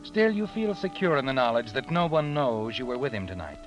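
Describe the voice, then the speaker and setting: A man's voice: mid-range voice, very animated, a little fast, close to an everyday voice. A man playing an ominous, all-knowing narrator in a 1940s radio drama, taunting a killer directly. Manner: ominous, addressing the listener as the character. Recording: old radio recording with music.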